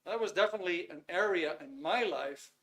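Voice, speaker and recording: A Dutch-accented man in his fifties hosts a live online talk in English. His voice is bright and sits a little high, and he speaks unhurriedly, pausing with fillers as he searches for words. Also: lively intonation. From Netherlands. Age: 50s. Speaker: webinar host.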